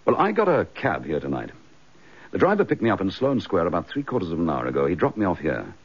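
An actor playing an embattled, indignant British police chief inspector in a radio drama, clipped, forthright and sardonic. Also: male voice, low voice, wildly swooping pitch, quick pace, husky, full character voice. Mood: determined. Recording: slightly dull radio drama recording.

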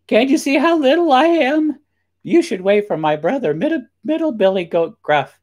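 A man voicing a small, frightened but crafty young billy goat in a storybook, pleading in a high little voice. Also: big pitch swings, normal speed, darkish tone, full character voice.

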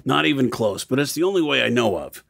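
A man's voice: medium pitch, lively intonation, quick pace, gravelly, fairly bright tone, exaggerated voice. A man playing a practical, level-headed planner in an audio drama, speaking matter-of-factly.

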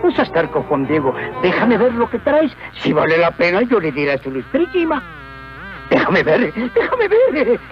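A man voicing a nosy, wheedling, comical busybody in an animated film. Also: sits high, moderate pace. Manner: prying, theatrical. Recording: dull old cartoon soundtrack with music.

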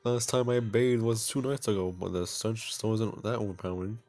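A man's voice: lowish voice, steady tone, brisk, darkish tone. A man hosting a video game playthrough, reading visual novel text and adding casual, self-mocking asides. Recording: music behind the voice. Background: game music.

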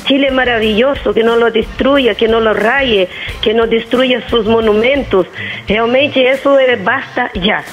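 A woman's voice: fairly high pitch, measured pace, soft background music. A mature woman from Brazil with a Brazilian accent in Spanish, a native Portuguese speaker, calling a live show and talking emphatically. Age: middle-aged or older. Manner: emphatic, impassioned, repetitive. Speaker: phone-in caller. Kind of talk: opinionated live phone-in talk. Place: phone line into live TV show. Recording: phone call heard over broadcast.

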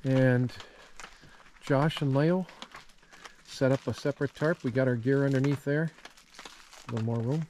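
A man's voice: mid-range voice, unhurried, long pauses, a little mellow. A man hosting a camping vlog, talking in a casual, folksy and playful way outdoors at a campsite. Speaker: host of a camping trip vlog. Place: outdoors at a wooded lakeside campsite. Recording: noisy outdoor recording with rain patter. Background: rain and outdoor noise.

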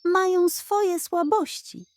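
A plucky little boy rabbit from a children's storybook, played by a woman. The voice sits high, raised well up, and sounds wise and sure as he takes charge. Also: takes it slowly, a little mellow, no echo. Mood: urgent, commanding.

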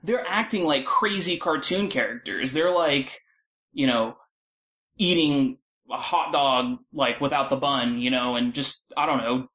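A man talking casually as a panel guest, halting and peppering his speech with filler words. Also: medium pitch, a bit bright. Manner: halting, filler-heavy, frequent "like". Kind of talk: casual discussion.

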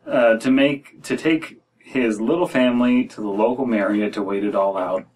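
A man's voice: mid-range voice, normal speed, darkish tone. American man reading aloud, distant mic. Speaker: podcast host reading stories aloud. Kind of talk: casual reading aloud with joking asides. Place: small room at home, indoors.

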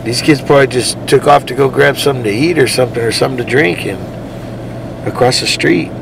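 Casting: male livestream reporter, heavy vocal fry, slangy and casual, noisy street and car ambience. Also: medium pitch, quick pace. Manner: slangy, addressing viewers as guys. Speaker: livestream host covering police activity. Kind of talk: casual live commentary to viewers. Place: outdoors at night roadside, then in a car.

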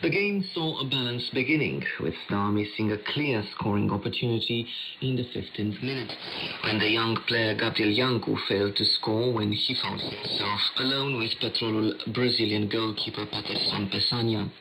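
A man's voice: lowish voice, normal speed, gravelly, sharp tone. A man reading a scripted sports news bulletin as a radio presenter, heard over shortwave. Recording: noisy shortwave radio reception. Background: radio static and interference.

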